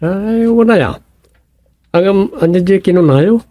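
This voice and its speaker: A man's voice: sits high, measured pace, mellow. A man with an Ilocano accent playing a bantering grown son in a village radio comedy-drama.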